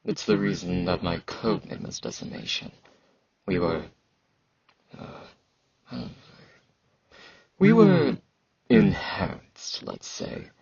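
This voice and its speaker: A man playing a blunt, awkward fantasy knight and warrior in an intimate ASMR roleplay, halting and dryly dismissive, with a low, gravelly, husky voice and sibilant S sounds. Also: wildly swooping pitch, darkish tone, lo-fi sound, exaggerated voice. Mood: explaining, hesitant.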